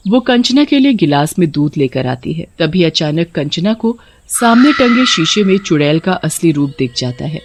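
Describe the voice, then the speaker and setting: A woman's voice: moderate pace, hardly any pauses, noisy background. Woman narrating a horror story. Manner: steady storytelling, dropping lower for eerie moments.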